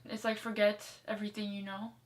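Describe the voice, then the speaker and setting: A woman's voice: medium pitch, little pitch movement, a little fast. A woman chatting casually in a discussion, agreeing and adding short points of her own. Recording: distant mic with faint ambient noise.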